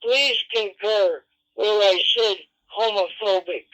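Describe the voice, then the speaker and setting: High-pitched man arguing over muffled radio. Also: slow pace, a bit bright. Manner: insistent, repetitive, confrontational. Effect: two-way radio transmission. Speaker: amateur radio operator. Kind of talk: unscripted argument on air. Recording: muffled lo-fi digital two-way radio.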